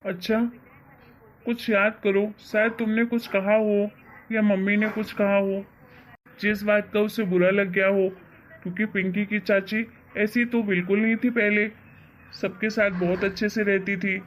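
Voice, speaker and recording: A woman's voice: medium pitch, little pitch movement, unhurried, slight background noise, close to an everyday voice, rough recording. A woman voicing a caring husband and father in a cartoon family drama, coaxing and reassuring as he asks questions. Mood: reassuring, thoughtful.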